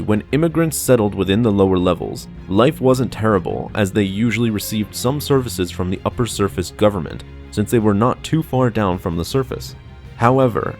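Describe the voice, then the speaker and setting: A man reads a scripted explainer as narrator, in a measured, expository way. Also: fairly low pitch, darkish tone. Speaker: narrator of a lore explainer channel. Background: background music.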